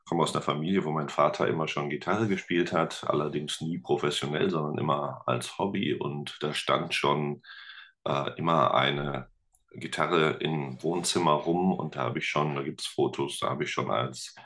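A man's voice: rumbling low, fairly even intonation, normal speed, a bit bright. A man talking casually as an interview guest, rambling a little as he thinks aloud. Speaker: guest, teacher and musician.

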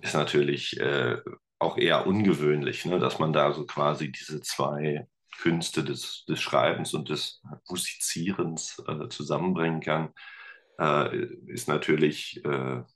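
Man, extremely deep voice, podcast guest, hesitant, many fillers, casual. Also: steady tone, measured pace, a bit bright. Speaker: podcast guest, teacher and guitarist. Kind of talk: casual interview talk.

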